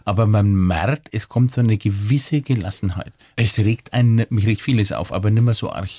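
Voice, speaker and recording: A man's voice: fairly low pitch, normal speed, warm tone. A man in his fifties from Franconia with a Franconian German accent, a cabaret artist chatting cheerfully as a guest in an interview. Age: 50s. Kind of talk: relaxed interview chat.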